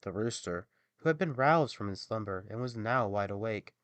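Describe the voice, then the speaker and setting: A man narrating a scripted fairy tale as an expressive, theatrical bedtime storyteller. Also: lowish voice, normal speed, no echo.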